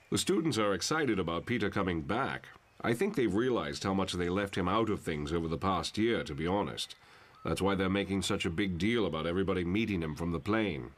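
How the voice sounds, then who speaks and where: A man voicing a calm, fatherly telepath professor in a motion comic, speaking in a measured, wry, articulate way. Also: low voice. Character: calm, fatherly older male telepath professor confronting his son. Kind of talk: dramatic motion comic voice acting.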